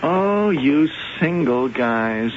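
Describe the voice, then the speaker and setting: An actor playing an easygoing, doubting police sidekick in an old radio drama, talking casually on a very noisy, dull recording. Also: male voice, medium pitch, animated, extremely slow. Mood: amused, musing. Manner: casual, folksy.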